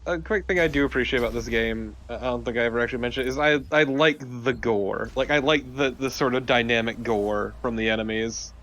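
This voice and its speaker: A man's voice: medium pitch, fairly quick, hardly any pauses, a bit bright. A man co-commentating on a let's play with casual, irreverent, sarcastic and sweary banter.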